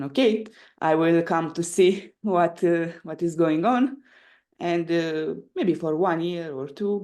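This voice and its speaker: A woman's voice: low voice, warm tone. Young Romanian-accented woman, hesitant. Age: 20s. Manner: hesitant, searching for words in non-native English. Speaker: podcast guest. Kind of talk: casual interview answers.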